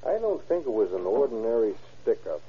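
A man's voice: fairly low pitch, big pitch swings, brisk, husky, heavily acted. An actor playing a laconic, businesslike policeman in an old-time radio crime drama, clipped and matter-of-fact. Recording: muffled old radio recording.

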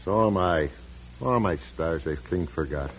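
An actor playing a blunt, weary old priest in a radio drama, husky and sardonic, on a muffled recording. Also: male voice, sits low, animated, unhurried, a little hiss or hum. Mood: subdued. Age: older. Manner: gruff, sardonic, plain-spoken. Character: blunt, weary, grumbling old Irish-American parish priest.

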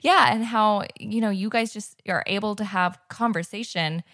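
A woman's voice: mid-range voice, normal speed, dry recording. Woman interviewer, dry close sound. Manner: warm backchannels, rambling questions with fillers. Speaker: podcast host. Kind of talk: casual interview conversation.

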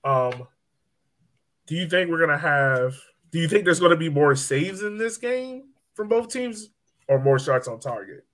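Higher-voiced man joking on a podcast. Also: lively intonation, measured pace, fairly bright tone. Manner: joking, playful. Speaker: podcast host. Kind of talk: casual sports podcast banter.

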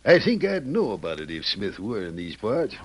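A man's voice: lowish voice, wildly swooping pitch, normal speed, slight background noise. A man playing a grizzled, folksy Old West sheriff with an in a radio drama. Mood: folksy, wary. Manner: folksy, plain-spoken. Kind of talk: old-time radio Western acting.

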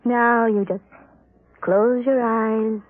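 Narrow-band vintage radio, devoted housewife, slightly high voice, soothing, cooing, old-time drama acting. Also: female voice, fairly even intonation, drawn-out words, no room sound. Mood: soothing, evasive. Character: sweet, soothing, devoted wife, secretly a robot.

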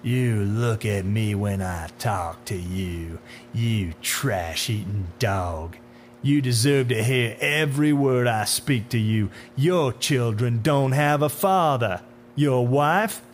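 A man playing a menacing, taunting otherworldly man in a dark suit in a horror story, with theatrical, deliberate, sneering questions. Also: medium pitch, expressive, gravelly, soft background music. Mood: furious, accusing. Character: menacing, taunting dark-suited man, smooth-talking otherworldly bringer of death. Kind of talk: dramatic horror audiobook acting. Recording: audible hum behind the voice.

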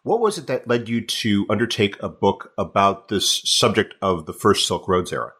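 Low, husky male interviewer. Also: lively intonation, moderate pace, a bit bright. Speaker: podcast host, interviewer. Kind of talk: podcast interview.

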